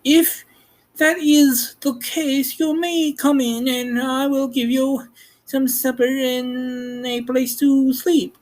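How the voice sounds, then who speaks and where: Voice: sits high, little pitch movement, slow pace, darkish tone, voice pushed up, rough recording. A man voicing a cautious but hospitable farmer's wife in a storybook reading. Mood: grudgingly welcoming.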